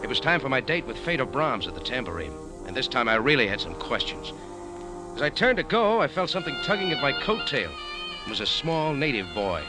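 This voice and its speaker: An actor playing a cool, wry American café owner and sleuth, laconic and deadpan in 1940s radio drama style. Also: male voice, mid-range voice, fairly quick, lightly acted, rough recording. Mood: cool, narrating. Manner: laconic, hard-boiled, deadpan. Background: music.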